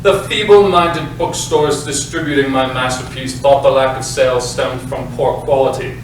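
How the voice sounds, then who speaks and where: An actor playing a pompous, self-important British playwright, delivering a grandiose, melodramatic stage monologue with dramatic pauses. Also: male voice, fairly high pitch, natural voice. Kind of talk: theatrical monologue at a staged reading. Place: live staged reading, echoey indoor space. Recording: echoey room, distant mic, faint noise.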